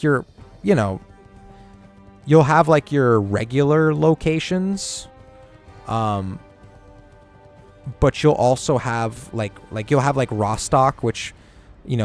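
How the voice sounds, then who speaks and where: A man streaming a game, chatting casually and giving rambling, opinionated takes with plenty of swearing. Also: mid-range voice. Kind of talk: casual gaming stream chat.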